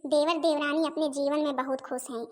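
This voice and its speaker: A woman's voice: sits high, steady tone, fairly quick, darkish tone, natural voice. A woman voicing put-upon Indian daughters-in-law in a family comedy cartoon, with a complaining, pleading manner. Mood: aggrieved. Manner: complaining, pleading, addresses relatives and viewers directly.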